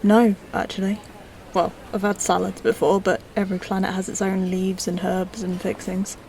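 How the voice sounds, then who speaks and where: A woman playing a courteous, curious old-model android in a sci-fi audio drama, speaking in a measured, polite way. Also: mid-range voice, flat delivery, creaky, a little mellow, slight background noise, close to an everyday voice, low-quality recording. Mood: friendly, curious.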